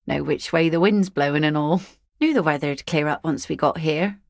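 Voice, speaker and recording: A woman voicing an easygoing, philosophical seafaring mother in a video game, with a wry, gently teasing, folksy manner. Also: low-pitched, moderate pace, no room sound, studio quality. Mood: relaxed, amused. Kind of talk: naturalistic video game dialogue acting.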